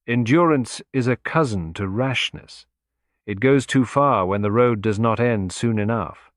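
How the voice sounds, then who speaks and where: A man narrating plays a troubled husband in a mystery audiobook. He is grave, formal and reflective, with a medium-pitched voice in a dry recording. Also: measured pace, dry recording, natural voice.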